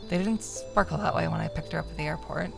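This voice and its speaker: A woman streamer reading a visual novel aloud as narration, expressive, sometimes stumbling and correcting herself. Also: deep voice, fast, creaky, over music.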